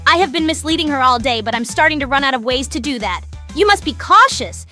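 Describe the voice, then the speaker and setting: A woman voicing an excitable, chaotic little girl character with a dramatic way of talking in a comedic cartoon. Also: high voice, fast, soft background music. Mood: dramatic warning. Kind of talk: comedic voice acting.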